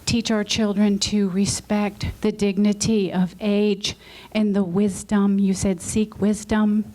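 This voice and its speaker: A woman in the audience asking the panel a heartfelt question, apologetic and loud-voiced. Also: mid-range voice, flat delivery, takes it slowly, poor audio. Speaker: audience member. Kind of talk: question from the floor. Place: conference session room.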